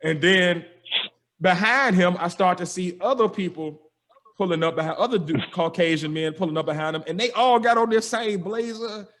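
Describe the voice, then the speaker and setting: Animated young man with a high voice. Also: moderate pace. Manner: lively, acts out dialogue in quotes, slangy. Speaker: podcast host telling a story. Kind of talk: animated storytelling.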